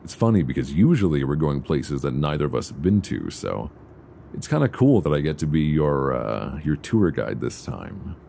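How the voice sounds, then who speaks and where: Casting: male actor as tender, teasing boyfriend for ASMR audio roleplay; extremely low, gravelly voice with supple intonation, close binaural mic. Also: sing-song, heavily acted. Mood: relaxed, affectionate. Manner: soft teasing, unhurried, conversational with hesitant pauses. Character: affectionate, playful boyfriend on a luxury flight. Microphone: close binaural microphone. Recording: close binaural recording, faint background noise.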